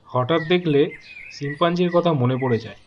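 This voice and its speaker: A slightly muffled recording with faint noise and birdsong behind it. A man with a fairly high voice narrates a horror story. He delivers it dramatically in measured, short phrases, leaving pauses.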